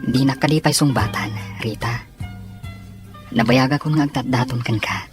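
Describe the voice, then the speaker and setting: A man's voice: medium pitch, moderate pace, natural voice. Man playing earnest young suitor over music. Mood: pleading, tender. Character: patient, devoted young male suitor. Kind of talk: Ilocano audio drama acting.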